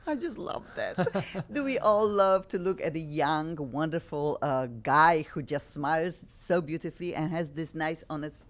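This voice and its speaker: A middle-aged woman from Poland with a Polish accent, hosting a talk show in an effusive, animated way. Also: low-pitched, moderate pace, few pauses. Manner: effusive, bubbly, animated, frequent laughs. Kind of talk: excitable talk show chat.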